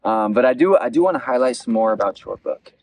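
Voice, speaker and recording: Young man, lively pitch, halting and earnest. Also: no room sound, low-quality recording. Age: 20s. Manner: earnest, halting, repeating phrases. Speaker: interviewer, local election candidate. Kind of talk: campaign interview. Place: outdoors at a beach picnic table.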